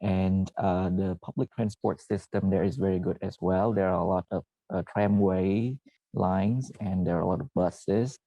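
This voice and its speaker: Low gravelly man, halting. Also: steady tone, mellow, no room sound, rough recording. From Vietnam. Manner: halting, frequent "uh" and self-corrections. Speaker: former PhD student presenting. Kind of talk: presentation to students.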